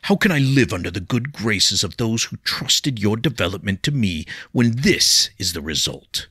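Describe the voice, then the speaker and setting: A man voicing a stern older male weapons master with accented English, delivering measured, scolding rebukes. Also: on the low side, very animated, bright, forceful tone, slightly rough, no room sound, studio quality, pitched above the performer's own voice, heavily acted. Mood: reproachful.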